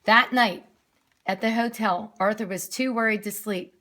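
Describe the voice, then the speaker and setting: A woman's voice: mid-range voice, moderate pace, bright, forceful tone. A woman reading a story aloud expressively to young children, as a nursery teacher who explains things simply.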